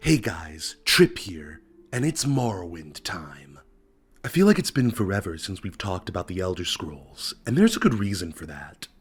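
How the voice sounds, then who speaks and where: A man hosting a lore video, narrating from a script with a stuffy voice from a cold and dramatic pauses. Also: deep voice, sing-song, husky. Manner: enthusiastic storytelling with dramatic pauses. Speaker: video essay host.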